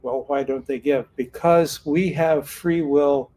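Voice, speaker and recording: A man's voice: on the high side, fairly even intonation, slow pace, warm tone, a little hiss or hum. An older man from Northern California, a retired sheriff's officer who now does card readings, telling his story in an earnest, folksy way and often restarting sentences. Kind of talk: casual storytelling talk.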